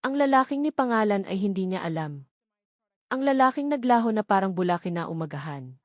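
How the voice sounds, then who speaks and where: A woman with a Filipino accent narrating a scripted dramatic story as an audiobook, in a deliberate, emphatic way with short dramatic phrases. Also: medium pitch, expressive, no room sound, clean studio sound.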